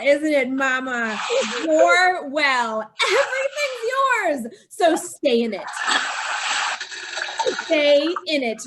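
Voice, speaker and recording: A woman's voice: very high-pitched, noisy background, heavily acted. A woman playing a scheming, guilt-ridden young wife who confesses to murder in feverish, insistent old-time radio style. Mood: vengeful gloating. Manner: feverish, melodramatic, insistent repetition.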